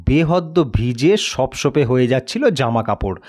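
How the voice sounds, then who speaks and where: A man's voice: medium pitch, animated, measured pace, darkish tone. A man narrating a scripted story in a dramatic storytelling style.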